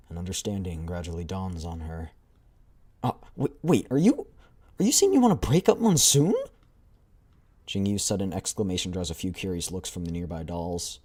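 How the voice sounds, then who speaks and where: Deep-voiced male streamer. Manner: relaxed, self-deprecating. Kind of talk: casual chat and reading game story aloud.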